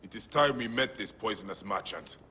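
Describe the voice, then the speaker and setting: An actor playing a stern, righteous warrior hero in a video game, intense and formal in phrasing. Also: male voice, lowish voice, lively intonation, a little fast, pushed and bright, a little hiss or hum. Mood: concerned, firm. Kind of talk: video game character acting.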